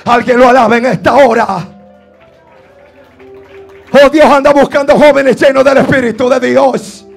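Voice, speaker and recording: A man delivers a fervent Pentecostal sermon in a very high, strained voice with a gravelly edge. He exhorts insistently, repeating his phrases. Faint music plays behind him. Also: fairly even intonation, normal speed. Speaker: preacher. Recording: preaching over faint background music.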